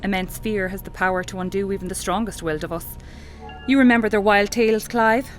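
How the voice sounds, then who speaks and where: A woman's voice: medium pitch, fairly even intonation, brisk, soft background music, natural voice. A woman playing a brusque, defensive archaeology professor in an audio drama, speaking formally and dismissively. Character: renowned female archaeology professor, brusque, defensive, obsessed with her find.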